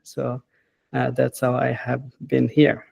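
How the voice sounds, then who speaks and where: Guy in his 30s, kinda creaky voice, mid pitch, lots of uh and right?. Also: completely flat delivery, a little mellow, no echo. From Bangladesh. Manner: unhurried, hesitant, with frequent "uh" and tag questions like "right?". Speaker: guest, assistant professor. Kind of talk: casual interview.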